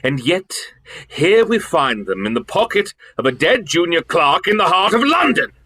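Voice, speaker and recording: An actor playing a pompous, imperious elder-brother government official in a radio drama, with emphatic theatrical delivery. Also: male voice, fairly high pitch, very animated, normal speed, sharp tone, slightly rough, full character voice. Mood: grave, indignant.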